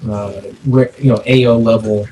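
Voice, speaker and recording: A man co-hosting a podcast, talking casually and haltingly, repeating words as he thinks aloud, with echoey audio. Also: fairly low pitch, steady tone, mellow. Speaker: podcast co-host. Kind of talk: casual, unscripted discussion. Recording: echoey, distant mic, background noise.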